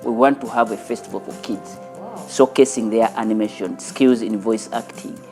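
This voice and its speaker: Low-voiced man over music. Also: steady tone. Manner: earnest, unhurried, with small restarts. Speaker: animation studio founder and teacher. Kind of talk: documentary interview. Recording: interview over loud background music.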